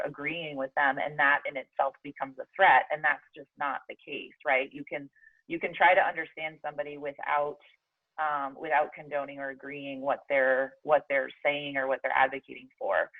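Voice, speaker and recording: A woman's voice: sits low, gravelly, no room sound. Woman on a tinny phone line. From Jordan. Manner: hesitant, many fillers and restarts. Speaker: interview guest. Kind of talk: reflective interview answers.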